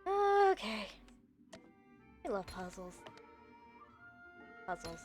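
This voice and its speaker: A woman's voice: mid-range voice, big pitch swings, very slow, soft background music. A woman live streamer giving casual, reactive commentary on a game, playful and muttering to herself.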